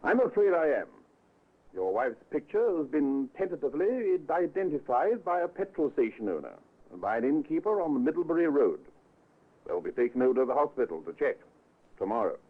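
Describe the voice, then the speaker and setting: An actor playing a measured, methodical police inspector in an old radio drama, dry and official with careful pauses. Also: male voice, fairly high pitch, big pitch swings. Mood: sombre, probing. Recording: muffled, lo-fi old radio recording.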